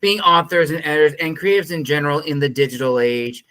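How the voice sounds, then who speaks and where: A man hosting an interview show, with a bright, forward tone and a smooth, resonant voice. He talks in earnest, winding questions padded with fillers. The recording is dry and close to the mic. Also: fairly high pitch, steady tone, fast, dry recording. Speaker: podcast host interviewing a narrator.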